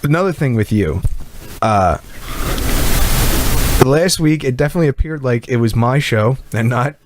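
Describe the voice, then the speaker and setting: A man's voice: mid-range voice, expressive, normal speed, noisy background. Rambling, swearing podcast co-host man. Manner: rambling, profane, repeats words. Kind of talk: casual podcast banter. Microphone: close studio mic.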